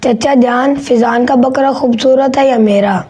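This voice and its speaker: A woman's voice: high voice, a little slow, dark tone, breathy edge. A woman voicing a polite, eager young village boy in a cartoon story. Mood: polite, curious.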